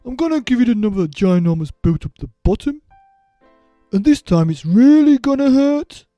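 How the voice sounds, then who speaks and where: A man voicing a bratty, bossy little girl in a children's storybook, loud, mocking and given to wailing. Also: extremely high pitch, big pitch swings, moderate pace, dry recording, dull audio, soft background music, voice pushed up. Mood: brash, furious.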